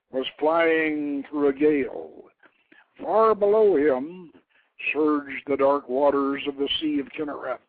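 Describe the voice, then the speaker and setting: A man reading a story aloud as dramatic scripted narration, theatrical in delivery, swelling loud and sinking to hushed tones. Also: mid-range voice, dry recording. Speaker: host reading his own novelette. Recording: narrow-band phone line, internet radio.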